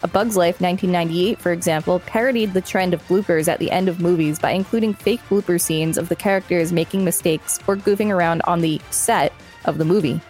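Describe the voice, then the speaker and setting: A woman's voice: on the low side, brisk, few pauses, darkish tone, faint music behind. A woman co-hosting a podcast, reading an encyclopedia article aloud and adding casual asides. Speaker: podcast co-host.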